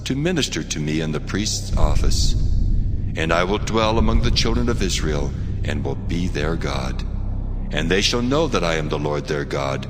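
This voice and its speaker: Scripture drama role: God, male actor, solemn and authoritative, deep voice in long reverb over music. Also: lively intonation, moderate pace. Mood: solemn, commanding. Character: God, solemn commanding male deity giving sacred laws.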